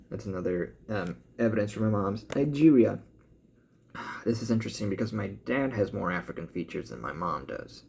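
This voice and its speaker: Gravelly American man, casual and low. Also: darkish tone, slight background noise. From born in Cuba, grew up in the US. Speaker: vlogger. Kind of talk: casual update talk, reading results aloud.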